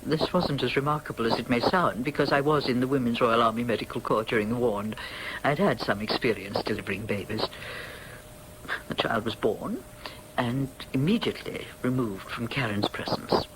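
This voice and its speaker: An actress playing a stern, dignified, guilt-ridden older upper-class mother in a radio drama, speaking formally and with measured precision. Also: very deep voice, slight background noise, poor audio. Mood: grave, confessing.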